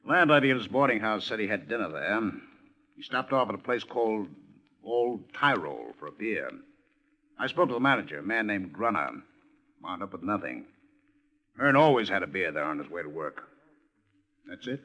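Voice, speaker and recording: An actor playing a dry-witted police lieutenant with an American accent, clipped and matter-of-fact, in a 1950s radio detective drama. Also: male voice, on the low side, animated, moderate pace, pauses often, pushed and bright, low-quality recording. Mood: businesslike.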